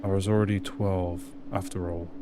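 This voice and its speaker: Low-voiced man hosting a game playthrough. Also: flat delivery, normal speed, noisy background. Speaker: gaming channel host. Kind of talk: let's play commentary and reading aloud. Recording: music loud behind the voice. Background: game music.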